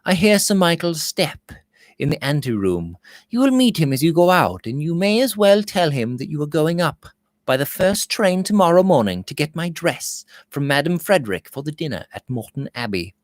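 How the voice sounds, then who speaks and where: A man's voice: fairly high pitch, big pitch swings, normal speed, dry recording, studio quality, voice pushed up. A man voicing a vain, frivolous young lady of a Victorian manor in a gushing, haughty manner. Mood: cosy. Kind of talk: Victorian novel read aloud.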